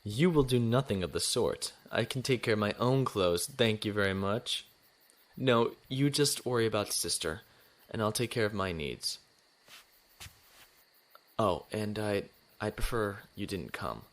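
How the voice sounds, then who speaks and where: Low-voiced, formal young space navy lieutenant. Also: male voice, lively intonation, measured pace. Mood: firm, reserved. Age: 20s. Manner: formal, restrained. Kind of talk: science fiction audio drama acting.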